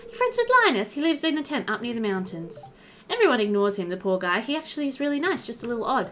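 A woman's voice: fairly high pitch, very animated, fast talker, a little hiss or hum. A woman reading out polite, friendly young village women from a farming video game in a lightly lilting way. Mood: sympathetic. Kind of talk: reading game dialogue aloud.

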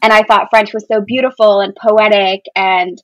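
A woman's voice: medium pitch, level tone, moderate pace, fairly bright tone, dry recording, low-quality recording. An American woman in her thirties, a podcast guest telling personal stories in an enthusiastic, informal way. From Boston area, United States. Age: 30s. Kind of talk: casual personal storytelling.

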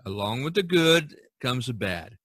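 Male podcast host, middle-aged, bright forceful tone, close dry sound, emphatic conversational delivery. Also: mid-range voice, very animated, measured pace, husky, no echo.

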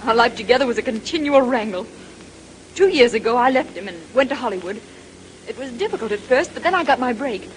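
An actress playing a high-strung, defensive, emotional showbusiness woman in a 1930s radio melodrama. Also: lively intonation, fairly quick, close to an everyday voice. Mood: anguished confession. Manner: emotional, dramatic, insistent. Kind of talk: 1930s radio drama acting. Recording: noisy vintage 1930s radio recording.

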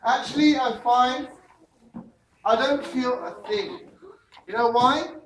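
An older man with a London accent, playfully teasing as he runs a lively science demonstration for schoolchildren. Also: very high voice, takes it slowly. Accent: London English. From England. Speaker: science show presenter. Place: large school library room, indoors. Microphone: far camera. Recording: echoey room from far off, noisy.

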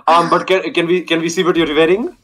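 A young man on a video call through a gaming headset, joking and boasting in a loud, cocky way. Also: on the high side, little pitch movement, fairly quick. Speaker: online date contestant. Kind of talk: casual video call banter.

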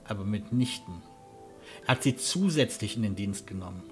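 A man playing a courteous, earnest huntsman guide with a halting manner in a fantasy audio drama. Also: lowish voice, lively intonation, darkish tone, faint music behind, lightly acted. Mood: hesitant, uneasy. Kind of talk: German fan audio drama acting.

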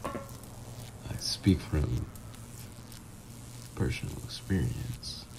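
Man acting as a slow, deep, smug villain ex. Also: expressive, creaky, noisy background, full character voice. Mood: flirty, taunting. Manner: smug, drawn-out, intimate teasing. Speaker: voice actor. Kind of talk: flirty ASMR roleplay acting.

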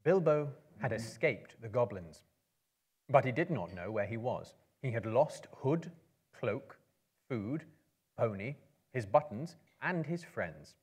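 A man in his thirties giving a measured, expressive live dramatic reading as a storyteller. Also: medium pitch, breathy edge. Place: indoor book-lined venue before a small live audience.

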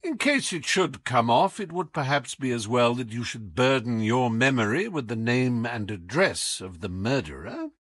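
A man's voice: medium pitch, very animated, normal speed, few pauses, fairly bright tone, dry recording. A man reading an audiobook as a whimsical, imperious detective with a British accent, theatrical, commanding and wry. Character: brilliant, whimsical, imperious male English detective.

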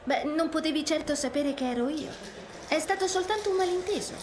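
A kind, hopeful young heroine acted by a woman, with a high voice. She is an earnest, hardworking cartoon character, heard over a crowd and street ambience. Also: a little fast, lightly acted. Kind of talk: animated game dub.

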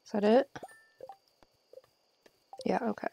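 A woman's voice: slow pace, dark tone, a little hiss or hum. A woman giving casual live commentary as she streams a game, playfully talking to herself and her viewers.

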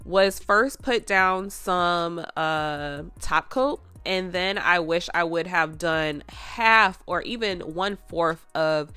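Female nail vlogger, slightly low voice, hoarse and congested, chatty and rambling, dry close sound. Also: slow, hardly any pauses, bright, forceful tone, no room sound. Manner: chatty and rambling, full of "like" and "you guys". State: hoarse and stuffed up. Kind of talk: casual nail art tutorial.

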